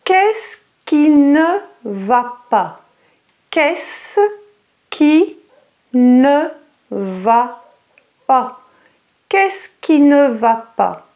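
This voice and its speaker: Woman, French accent, language teacher, very slow, high and lively pitch, careful articulation. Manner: over-articulates words and repeats them slowly for learners. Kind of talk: slow, clear lesson narration in English and French.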